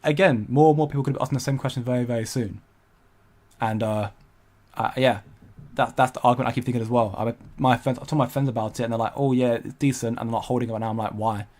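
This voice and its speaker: A man's voice: medium pitch, fairly even intonation, fast talker, darkish tone. Rambling young male crypto streamer. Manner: rambling, hesitant, many restarts, laughs often. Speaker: crypto livestream host. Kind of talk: casual livestream chat reading viewer comments.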